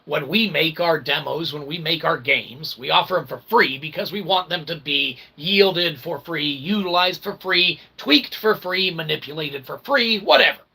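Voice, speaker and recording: A man's voice: a little high, moderate pace, gravelly, few pauses, sharp tone, breathy edge, poor audio. A man hosting his own channel, delivering a rambling philosophical monologue in wordy, mock-formal, archaic phrasing and constantly checking in with "okay?". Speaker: host of own channel, independent author and developer.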